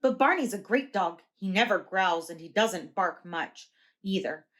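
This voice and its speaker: A woman voicing a kind, helpful schoolboy in a children's storybook, gently explaining and reassuring. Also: mid-range voice, lively intonation, a little slow. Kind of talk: children's storybook read-aloud.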